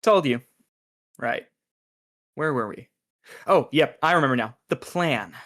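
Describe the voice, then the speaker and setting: A man's voice: mid-range voice, lively intonation, unhurried, a bit bright. A man playing a smug, chatty, scheming agent in a sci-fi comedy table read, with a glib, dramatic manner. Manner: glib, dramatic, stammers when cornered.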